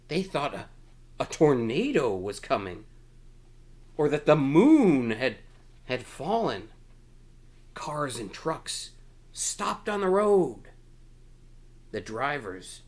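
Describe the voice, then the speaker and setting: A man reading a children's picture book aloud in a playful, exclamatory manner with dramatic emphasis. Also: fairly high pitch. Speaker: storytime reader.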